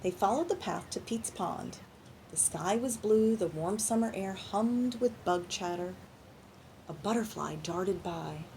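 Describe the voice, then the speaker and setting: Lady doing kids storytime, animated read-aloud, stops to ask the kids stuff and explain it simply, bit of noise behind. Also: mid-range voice, rough recording. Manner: pauses to ask children questions and explain simply. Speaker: children's librarian and storytime reader. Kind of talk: animated picture-book read-aloud for young children.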